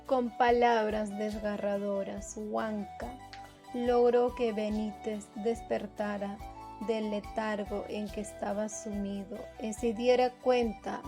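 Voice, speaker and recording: A woman narrating a scripted radio novel. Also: fairly high pitch. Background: music.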